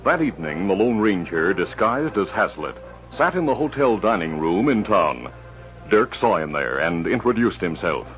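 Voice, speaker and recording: A man's voice: sits low, very animated, normal speed. A man reading scripted narration for a 1950s radio drama. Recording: dull old radio recording, music behind.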